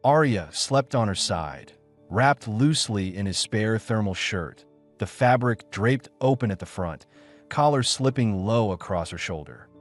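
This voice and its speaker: A man's voice: fairly low pitch, expressive, unhurried. A man narrating a scripted story as an audiobook, with music behind him.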